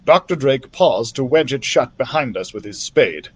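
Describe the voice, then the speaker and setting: A man's voice: mid-range voice, animated, normal speed, husky. A man reading a children's adventure story aloud as a library storytime reader, with careful, dramatic phrasing. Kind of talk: expressive scripted storybook narration.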